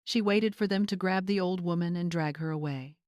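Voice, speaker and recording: A woman narrator reading a scripted dramatic story in a measured, suspenseful manner. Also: lowish voice, a little mellow, no room sound, studio quality.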